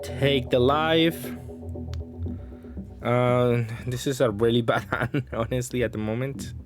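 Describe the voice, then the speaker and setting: A man's voice: mid-range voice, fairly even intonation, slow pace, over music. A man giving casual card game commentary, thinking aloud and hedging his choices as he plays.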